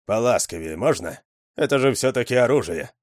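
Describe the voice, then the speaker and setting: A man voicing a tough, commanding middle-aged male gang leader who gives gruff orders. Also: medium pitch, lively intonation, fairly quick, no room sound, studio quality, lightly acted.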